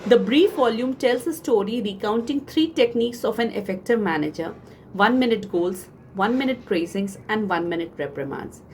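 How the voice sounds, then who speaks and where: A woman's voice: a little high, lively intonation, darkish tone. Indian woman teacher, with background noise. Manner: measured, instructive, addressing students. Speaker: teacher at a coaching institute. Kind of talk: educational book recommendations, semi-scripted presentation.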